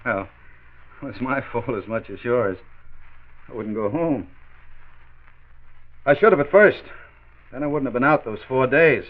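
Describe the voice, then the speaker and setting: A man's voice: medium pitch, unhurried, pauses often, close to an everyday voice. An actor playing a loyal, upbeat, plain-spoken factory foreman in a 1940s radio drama. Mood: frank. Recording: muffled, band-limited 1940s radio recording.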